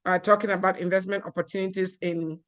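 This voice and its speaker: A woman's voice: on the low side, little pitch movement, fast talker. A woman from Cameroon giving an earnest seminar talk, with frequent fillers and restarts. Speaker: guest speaker.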